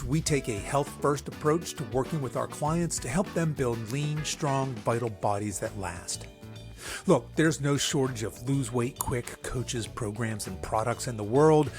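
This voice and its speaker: A man's voice: mid-range voice, a little mellow. A man hosting a podcast, delivering a polished scripted introduction and speaking directly to listeners. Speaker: podcast host.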